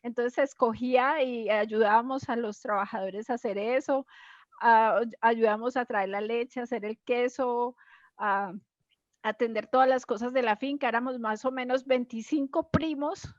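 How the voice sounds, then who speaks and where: A middle-aged Colombian woman with a high voice, reminiscing in a casual, conversational way. The recording is dry and a little dull. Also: fairly even intonation, normal speed, no echo. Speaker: interviewee sharing childhood memories.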